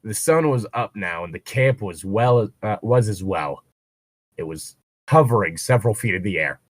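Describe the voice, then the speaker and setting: Animated man reading aloud with joking asides. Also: fairly low pitch, moderate pace, dry recording. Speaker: co-host reading fan fiction aloud. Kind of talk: read-aloud narration with casual joking commentary.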